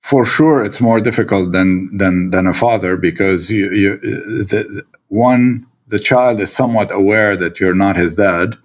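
A man with a Lebanese accent and a very low, deep voice, speaking as an interview guest. He talks haltingly, with frequent fillers and restarted phrases. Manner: hesitant, many fillers and restarts. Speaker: guest, stepfather. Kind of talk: conversational interview.

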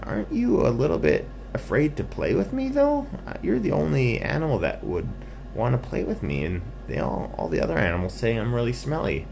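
Guy doing a friendly little skunk for a kids story, eager n chatty, pitch jumps around, noisy recording. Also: sing-song, a little fast, creaky. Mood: delighted, shy. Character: small friendly lonely skunk, eager to play. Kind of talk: bedtime story character voice for children.

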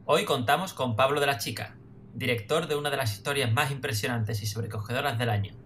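Man, podcast host, mid pitch, tentative, tag questions. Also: a little fast, fairly bright tone, slight background noise. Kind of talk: podcast interview.

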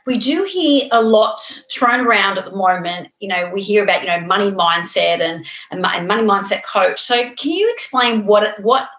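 A woman's voice: medium pitch, lively intonation, moderate pace, few pauses, fairly bright tone, echoey room, muffled recording. A middle-aged woman hosting a podcast, introducing a guest and asking her questions. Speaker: podcast host and interviewer.

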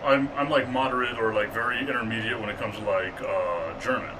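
A deep-voiced man from El Paso hosting a casual interview, joking and self-deprecating. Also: fairly even intonation, fairly quick, far from the mic, background noise. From El Paso, Texas. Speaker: interviewer, host.

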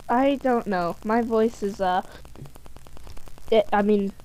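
A woman's voice: medium pitch, slow pace, darkish tone, rough recording. A woman from the United States in a casual voice chat, goofy and playful, making up silly exaggerations. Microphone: home mic over voice chat.

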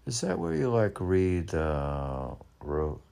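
A man in his sixties rambling alone between lines of his own poetry, halting, questioning himself and repeating phrases. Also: low-pitched, huge pitch swings, vocal fry, dark tone. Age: 60s. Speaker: poet reading own work and commenting. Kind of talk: rambling solo monologue mixed with poem reading.

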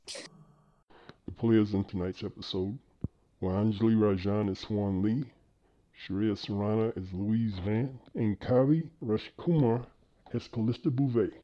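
A man's voice: fairly low pitch, fairly even intonation, unhurried. A man reading scripted scene-setting narration for an audio drama. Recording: dull lo-fi recording.

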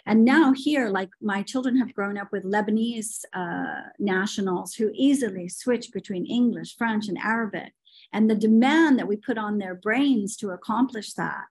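A woman's voice: medium pitch, moderate pace, darkish tone, slightly rough. A middle-aged woman talking about language teaching in a podcast conversation, opinionated and telling stories, with frequent fillers.

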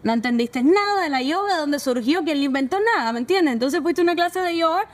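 Outgoing high-voiced woman. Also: lively intonation, quick pace. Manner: outgoing, emphatic, asks "me entiendes". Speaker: community co-founder, guest. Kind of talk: casual podcast conversation.

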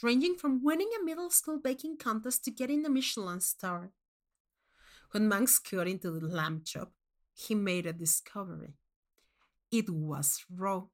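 A woman reading a first-person short story aloud as narrator, in an expressive, dramatic manner. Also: on the low side.

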